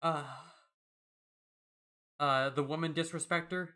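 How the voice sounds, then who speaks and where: Bright, higher-pitched man chatting wryly. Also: fairly even intonation, takes it slowly. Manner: wry, jokey. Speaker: podcast host. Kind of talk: casual chat and reading listener mail.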